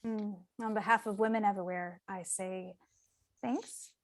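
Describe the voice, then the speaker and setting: A woman's voice: mid-range voice, steady tone, normal speed, close to an everyday voice. A woman playing a sweet but wry bartender in her late twenties at a film script table read, with dry teasing. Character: sweet, wry twenty-nine-year-old female bartender.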